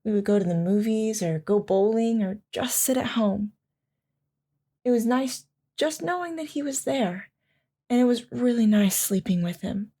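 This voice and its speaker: A devoted military wife, played by a woman in an audio drama, reminiscing about her husband. Her voice is warm and mellow at an average pitch for a woman. She tells it gently, with wistful tenderness. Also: vocal fry, breathy edge. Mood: fond, nostalgic.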